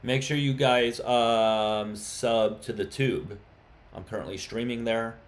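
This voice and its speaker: A man's voice: medium pitch, fairly even intonation, slow. A man hosting a live stream, chatting casually with viewers and arguing insistently with callers. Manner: chatty, turns heated and insistent in arguments. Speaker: livestream host.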